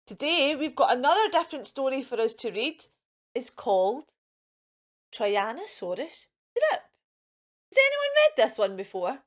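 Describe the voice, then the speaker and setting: Children's storytime host, woman, fairly high voice, very lively sing-song read-aloud. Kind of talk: animated picture-book reading for children.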